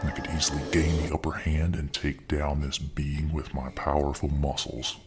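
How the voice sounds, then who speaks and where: A man's voice: extremely low pitch, little pitch movement, normal speed. A man voicing a mighty, proud demigod strongman who bellows commands. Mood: strained, proud. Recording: music bed behind.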